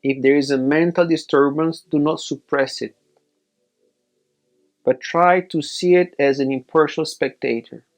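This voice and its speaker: A man leading a guided meditation as a teacher, giving measured instructions in short, separated phrases. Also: on the high side, fairly even intonation, soft background music.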